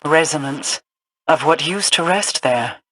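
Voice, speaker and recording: A woman voicing a cold, commanding queen in a video game, speaking in a measured, formal, imperious way. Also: low voice, a bit bright, a little hiss or hum, low-quality recording. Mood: cool explaining.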